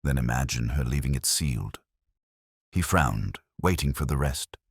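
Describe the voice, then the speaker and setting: Very deep-voiced brooding male narrator. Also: breathy edge, no room sound, clean studio sound. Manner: measured, brooding, reflective delivery. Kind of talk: scripted first-person detective narration.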